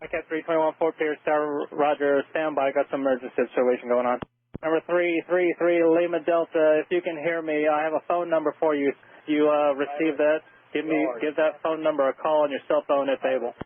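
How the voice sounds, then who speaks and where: A man working as an air traffic controller, giving halting, procedural instructions and repeating call signs over an aircraft radio. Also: a little high, steady tone, narrow, tinny audio. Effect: aircraft radio transmission.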